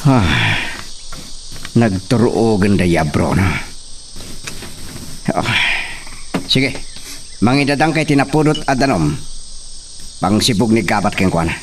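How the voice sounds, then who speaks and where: A man acting as an excitable, bossy hacienda man in a radio drama, with commanding delivery. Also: fairly low pitch, slow pace, long pauses, far from the mic, slight background noise. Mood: grumbling, bossy.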